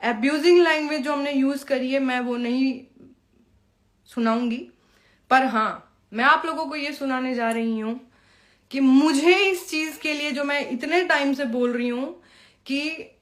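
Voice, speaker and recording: A woman's voice: high voice, measured pace. A young woman speaks informally to a live-stream audience. She is pointed and taunting, calling people out directly. English phrases slip into her talk. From Bihar, India. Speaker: Bhojpuri performer addressing followers on a live stream. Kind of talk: informal live-stream monologue, personal call-out.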